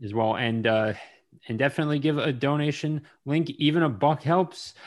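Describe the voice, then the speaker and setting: Halting man hosting a podcast. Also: a little high, a little mellow. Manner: halting, with many ums and uhs. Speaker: podcast host. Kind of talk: casual interview chat.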